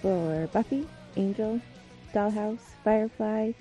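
A woman's voice: medium pitch, slow, music in the background, poor audio. A woman hosting a podcast, giving a casual spoken introduction. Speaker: podcast host.

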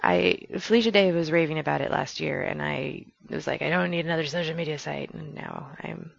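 A woman's voice: deep voice, fairly quick, vocal fry, muffled recording. A woman hosting a podcast in a casual, rambling, chatty and self-deprecating way, recorded on a headset. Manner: chatty, self-deprecating, laughing at own slips. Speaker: podcast host.

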